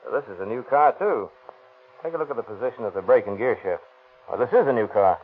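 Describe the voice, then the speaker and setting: An actor playing a firm, businesslike police sergeant in an old radio crime drama. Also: male voice, mid-range voice, lively intonation, fast talker, husky. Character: brisk, no-nonsense police sergeant. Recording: tinny 1930s radio broadcast.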